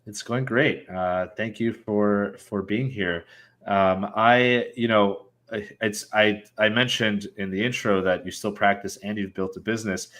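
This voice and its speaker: A man's voice: fairly low pitch, steady tone, unhurried, a bit bright. A man hosting a podcast interview, speaking hesitantly with stumbling restarts and fillers. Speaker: podcast host.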